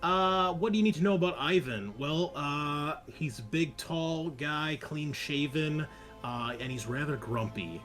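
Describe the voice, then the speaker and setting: A man's voice: a little high, unhurried, a bit bright, soft background music. A man chatting casually as a tabletop game player, describing his character and what he does.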